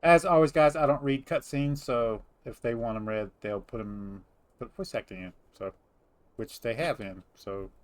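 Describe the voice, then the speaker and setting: This is a middle-aged man with an ordinary-pitched voice and a very dry sound. He chats casually while hosting gameplay, wandering through his thoughts in a rambling, teasing way. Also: moderate pace, no room sound. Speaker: gaming host. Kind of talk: casual chat over gameplay.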